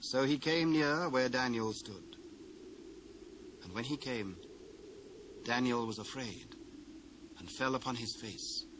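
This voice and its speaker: A man's voice: mid-range voice, takes it slowly, long pauses, background noise. Solemn British male scripture narrator. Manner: solemn, formal storytelling.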